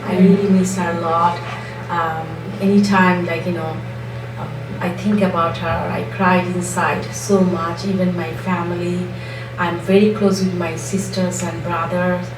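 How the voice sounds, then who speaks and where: Woman in her 50s, low and distant. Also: little pitch movement, echoey room, noisy background. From Bangladesh. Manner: halting, heartfelt, pauses often. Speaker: interviewee. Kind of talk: documentary interview.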